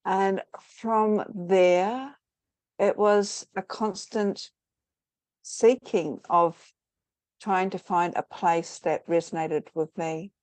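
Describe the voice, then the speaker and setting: A woman's voice: medium pitch, fairly even intonation, drawn-out words, a little mellow, dry recording. A middle-aged woman, a guest in an online interview, rambling thoughtfully with many fillers and restarts. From New Zealand. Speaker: interview guest, spiritual teacher.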